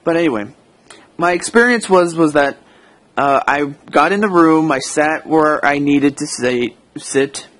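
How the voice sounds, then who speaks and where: A man's voice: fairly high pitch, a little slow, a bit bright. An American man giving a casual, unscripted audio log, hesitant and rambling, often correcting himself as he goes. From United States.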